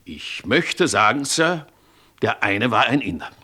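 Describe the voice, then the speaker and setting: An actor playing a dutiful, respectful river police constable, giving a measured, deliberate report in a German radio crime drama. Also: male voice, mid-range voice, big pitch swings, sharp tone, slightly rough, heavily acted. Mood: hesitant, thoughtful. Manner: deferential, measured witness report.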